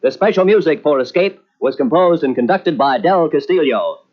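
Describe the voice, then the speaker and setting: Brisk old-time radio announcer man. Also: on the high side, animated, very noisy. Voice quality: brisk, formal announcer delivery. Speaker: network announcer. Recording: dull lo-fi old radio tape.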